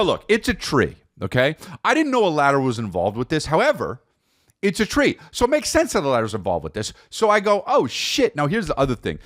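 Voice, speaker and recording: An American man hosting a comedic podcast, telling personal stories in a casual, rambling, animated way with repeated phrases for emphasis. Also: mid-range voice, no echo, clean studio sound.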